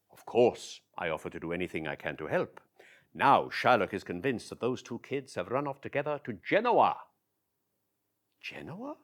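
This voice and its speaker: A chatty, confiding messenger, played by a man who talks straight to the audience in a one-man stage monologue. His delivery is animated and full of wry asides, and his voice rises and falls freely. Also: medium pitch.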